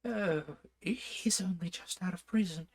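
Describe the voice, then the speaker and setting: A man voicing a fussy, excitable old king in a storybook read aloud, in a high, fluttery, exclaiming voice. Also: unhurried.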